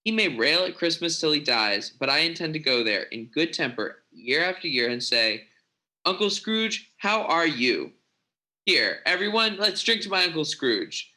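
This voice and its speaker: A man's voice: a little high, very animated, moderate pace, pushed and bright, full character voice, low-quality recording. A man playing a cheerful, good-humored young nephew in an amateur radio play recorded over a video call. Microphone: home video call mic.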